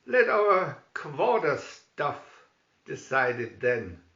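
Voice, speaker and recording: A merry, bold outlaw leader from a storybook, acted out by a man, hearty and declamatory. The pitch rides high and swings widely, in a dull, roomy recording. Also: very animated, drawn-out words, a bit bright, full character voice. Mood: defiant.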